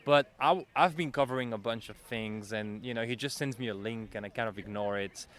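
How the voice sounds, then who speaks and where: A man from Belgium with a Belgian French accent, a TV correspondent chatting in an interview with quick bursts and self-corrections. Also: fairly low pitch, a bit bright. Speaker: national TV correspondent. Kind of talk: casual interview answers. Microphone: handheld interviewer mic.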